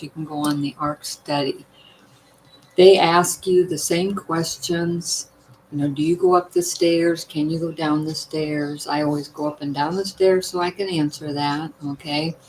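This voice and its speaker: Older lady talking low, voice kinda worn, chatty, faint hiss behind. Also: steady tone, normal speed, a little mellow, low-quality recording. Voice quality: voice partly worn, weak. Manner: chatty, emphatic, asks "you know what?". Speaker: vlogger sharing health tips. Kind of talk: casual talk to viewers.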